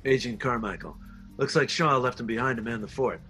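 A man's voice: medium pitch, animated, fairly quick, sharp tone, faint music behind. A man acting a scheming undercover spy villain who poses as a boss, in a script read. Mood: cold, commanding.

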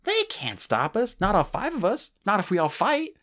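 A man voicing a fierce, bossy young female dragon, speaking high and commanding. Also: wildly swooping pitch, normal speed, fairly bright tone, exaggerated voice. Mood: determined.

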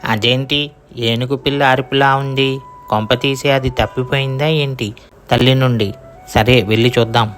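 A man's voice: mid-range voice, steady tone, normal speed, fairly bright tone, faint music behind, close to an everyday voice. A man voicing a kind, humble poor woodcutter in a cartoon moral story. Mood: concerned.

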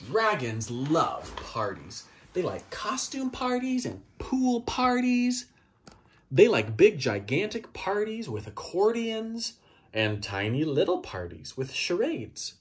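A man in his thirties reads a picture book to children. His pitch swoops dramatically across a very wide range. The delivery is playful, exaggerated and theatrical. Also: huge pitch swings, slow. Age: 30s. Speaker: storybook reader. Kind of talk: animated children's picture book read-aloud. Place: small child's room indoors.

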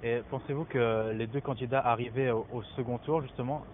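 A young interviewer asks his questions quickly and politely on a city street. His voice is thin and phone-like, with clear traffic noise in the background. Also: mid-range voice, fairly even intonation. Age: young adult. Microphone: holding a handheld mic.

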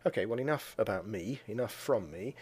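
A man with a low, slightly husky voice presents to a conference audience. He explains what is on screen in an even, measured way and stops now and then with an "uh" before going on. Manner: measured and explanatory, with frequent "uh" pauses. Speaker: school educator presenting at a conference. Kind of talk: conference presentation narrating slides and video.

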